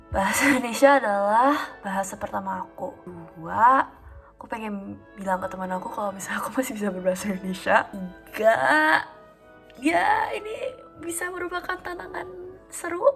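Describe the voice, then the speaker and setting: A woman of twenty-one talking casually in a home vlog, calm and chatty with frequent fillers. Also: on the high side, slightly rough. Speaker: vlogger.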